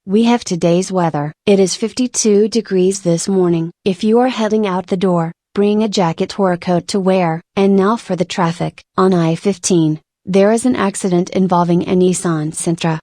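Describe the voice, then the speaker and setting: A woman's voice: mid-range voice, mellow, no echo, studio quality, lightly acted. A woman playing a weather and traffic reporter, giving a scripted, matter-of-fact report. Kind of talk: scripted news reading.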